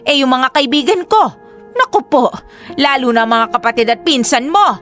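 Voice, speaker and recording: A woman's voice: high-pitched, lively intonation, normal speed, a bit bright. An actress playing a domineering, judgmental middle-aged mother in a Tagalog radio drama, scolding and melodramatic. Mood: nagging. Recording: faint background music.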